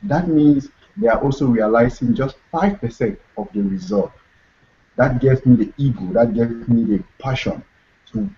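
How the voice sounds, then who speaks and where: A man's voice: lowish voice, warm tone. A young man from Cameroon, talking earnestly as an interview guest and repeating his key phrases. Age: young adult. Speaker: guest author. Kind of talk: motivational interview talk. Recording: dull lo-fi recording.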